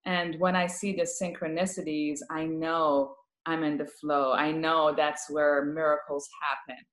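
A woman's voice: low-pitched, flat delivery, unhurried, a bit bright. Persian-accented woman in an echoey room. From Iran. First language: Farsi. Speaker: guest, palliative care director. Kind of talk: casual interview talk.

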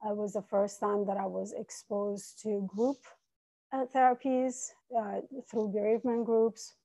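Gravelly, mellow woman telling her life story. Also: medium pitch, little pitch movement, a little slow, low-quality recording. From Iran and Italy. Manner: earnest, reflective, soft-spoken. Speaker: coach, podcast guest. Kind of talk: personal storytelling in interview.

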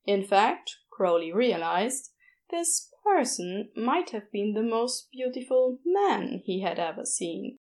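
A woman reading a story aloud as an audiobook narrator, in expressive scripted narration. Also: a little high, slow pace. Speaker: audiobook narrator of fan fiction.

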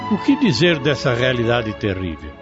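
A man's voice: medium pitch, wildly swooping pitch, moderate pace, lo-fi sound, over loud music. A man with a Brazilian accent delivering scripted moral commentary in a solemn, reflective way.

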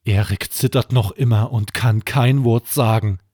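Slow, low-voiced man reading bedtime stories. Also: steady tone, dark tone, breathy edge, dry recording, studio quality. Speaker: podcast host and storyteller. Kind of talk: scripted bedtime story narration for children.

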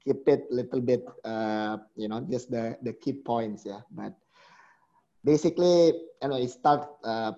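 A man's voice: medium pitch, unhurried, dark tone, highs cut off. A middle-aged man, a guest in an interview, talking reflectively with hesitant restarts. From Indonesia. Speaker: guest, company chief executive. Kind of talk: conversational interview.

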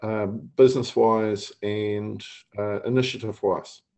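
A man's voice: on the low side, fairly even intonation, measured pace, vocal fry, a little mellow. A man hosting a podcast, asking questions in a hesitant way with many fillers. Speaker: podcast host.

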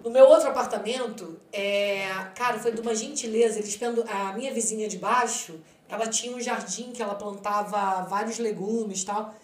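A woman's voice: mid-range voice, steady tone, moderate pace, hardly any pauses, reverberant. A Brazilian woman in her forties, a podcast guest chatting casually and acting out the dialogue in her stories. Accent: Brazilian Portuguese. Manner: chatty storyteller, acts out quoted dialogue. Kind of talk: casual chat.

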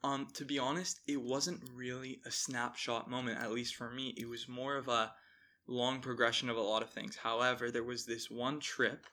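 A young man tells his story as an interview guest. His voice is bright and forceful at a middle pitch, and he phrases things in an earnest, deliberate way. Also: level tone. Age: young adult. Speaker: podcast guest, founder of a youth employment initiative.

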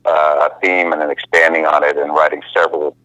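A man in his fifties talking casually as a guest, relaxed and halting, with many fillers and easy laughs. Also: extremely low pitch, dry recording. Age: 50s. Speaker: musician guest. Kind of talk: casual interview over the phone. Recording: muffled phone line.

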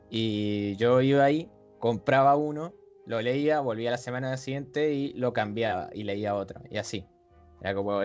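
A young adult man with an Argentine Spanish accent, co-hosting a podcast and telling casual stories about growing up reading comics. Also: mid-range voice. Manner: relaxed storytelling with frequent hesitations and laughs. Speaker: podcast co-host. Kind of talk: casual chat.

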